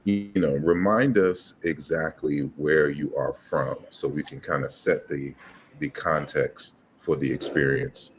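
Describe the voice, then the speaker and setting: A man's voice: extremely low pitch, fairly even intonation, unhurried, a little hiss or hum. A man hosting an online interview, talking hesitantly with many "you know"s and repeated words. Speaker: host.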